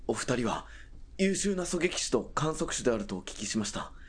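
An actor playing a dutiful young military police corporal in a radio drama, speaking in a formal, stiff, polite military manner. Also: male voice, mid-range voice, very animated, normal speed, breathy edge. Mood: deferential.

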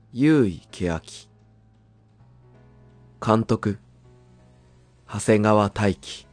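A man's voice: on the low side, mellow, no room sound. A man reading scripted credits as the narrator of an audio drama.

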